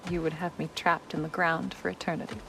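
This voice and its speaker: A wise, loving wife, with a fairly low voice. She is calm, gentle and measured, lightly teasing, in an intimate video game scene with faint background noise. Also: gravelly. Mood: tender, teasing.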